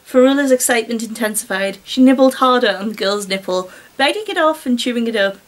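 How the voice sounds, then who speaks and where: A woman's voice: fairly high pitch, animated, fast talker. A British woman reading a story aloud with giggly, incredulous, sarcastic asides. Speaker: reader and commentator.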